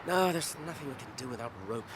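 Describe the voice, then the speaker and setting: A man playing a gentle, weary young gentleman hobbit in a radio drama, well-spoken and earnest. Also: mid-range voice, expressive, fast talker, noisy background, close to an everyday voice. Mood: practical, urgent.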